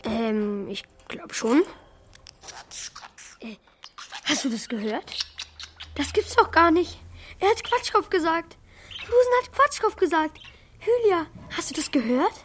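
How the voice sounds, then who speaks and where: A performer playing an eager, awkward schoolboy with a hesitant, excitable manner in a children's radio play. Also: sits high, takes it slowly, pauses often, slight background noise, full character voice. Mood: amazed.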